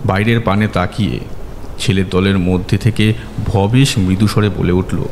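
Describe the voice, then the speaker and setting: A man's voice: low-pitched, steady tone, moderate pace, a little mellow, slight background noise. A man reading a scripted horror story as a dramatic narrator. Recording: long reverb, music bed behind.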